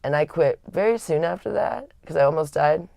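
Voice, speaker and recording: A woman with a raspy voice, hosting a livestream and telling a personal story in a candid, rambling way with frequent restarts. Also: sits low, animated, a little fast, darkish tone, dry recording, studio quality. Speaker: livestream host.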